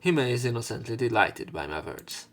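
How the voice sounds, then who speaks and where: A man with a medium-pitched voice narrates a visual novel for a let's play. He slips between reading lines and informal, sweary commentary, with faint music behind him. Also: sing-song, normal speed, fairly bright tone. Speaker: let's play host reading the game aloud.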